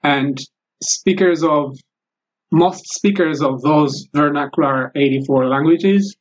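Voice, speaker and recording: A man whose first language is Amharic, speaking English with an Ethiopian accent, answering interview questions carefully with frequent pauses. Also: a little high. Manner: halting, careful, many pauses. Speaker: guest, former English teacher. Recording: lo-fi recording.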